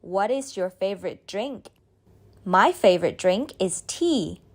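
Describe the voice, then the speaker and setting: A woman reading a beginner's speaking drill, saying each line slowly and clearly, then repeating it. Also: medium pitch, expressive. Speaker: model speaker in a language drill. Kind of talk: slow scripted drill reading.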